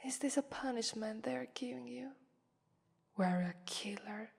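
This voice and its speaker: A woman's voice: fairly high pitch, darkish tone. A woman with an Italian accent voicing a haughty, mocking female vampire who toys with her prey in a theatrical ASMR roleplay. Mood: cold, condescending. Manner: mocking, theatrical, drawn-out phrasing with breathy whispers. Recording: long reverberant hall echo.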